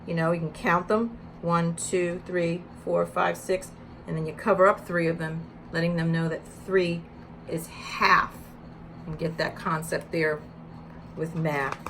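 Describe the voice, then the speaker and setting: A woman's voice: on the low side, fairly even intonation, a little slow, a bit bright, slight background noise. A woman educator giving a read-aloud lesson with teaching tips, speaking in an instructional way and with emphasis when reading. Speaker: early childhood educator.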